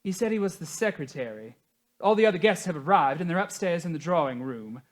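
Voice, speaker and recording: A woman's voice: lowish voice, animated, fairly quick, natural voice. A woman playing a dutiful, formal household servant who reports deferentially to the mistress in a stage comedy. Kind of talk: theatrical stage comedy acting.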